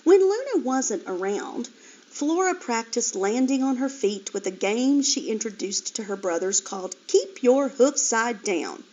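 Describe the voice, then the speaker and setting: Lively, expressive woman reading a storybook. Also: on the high side, rough recording. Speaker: teacher reading aloud. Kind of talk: storybook read-aloud.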